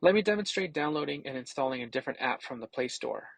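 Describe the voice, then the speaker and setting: Male tech reviewer, casual explainer read for a product demo, mid-pitched with noticeable fry, recorded very dry. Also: lively intonation, fast talker, no room sound, poor audio. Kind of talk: scripted product demo narration.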